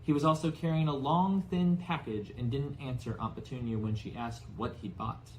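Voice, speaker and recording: Male narrator, medium pitch, expressive storybook reading, faintly noisy recording. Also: normal speed. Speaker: audiobook narrator.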